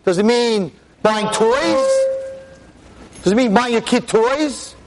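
A veteran teacher in his sixties lecturing an audience on a microphone, with a loud voice, emphatic and full of rhetorical questions. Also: very high voice, animated, slow pace, poor audio. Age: 60s. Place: talk in front of an audience. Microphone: handheld or stand mic.

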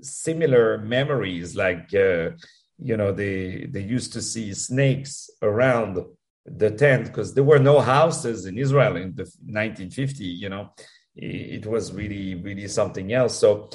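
French-accented man, halting and effusive. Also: lowish voice. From France. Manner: halting, repeats words, warm and effusive. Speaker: musical producer guest. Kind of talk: casual interview answers.

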